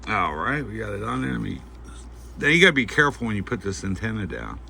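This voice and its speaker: A man giving a casual, unscripted product demonstration, talking in a halting, folksy way as he thinks aloud. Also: on the low side, big pitch swings, vocal fry, bright, forceful tone. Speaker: host of a gear review channel. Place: indoors at home at night. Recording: faint background noise.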